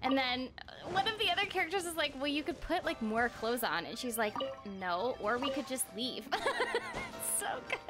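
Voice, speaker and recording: Young woman gamer streamer, highish voice, chatty and bubbly, game music in the back. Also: huge pitch swings, normal speed. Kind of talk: casual livestream chat over gameplay.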